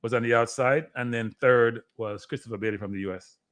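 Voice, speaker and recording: A man's voice: medium pitch, steady tone, brisk, a bit bright, smooth. A man hosting a watchalong, giving casual live sports commentary. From Jamaica.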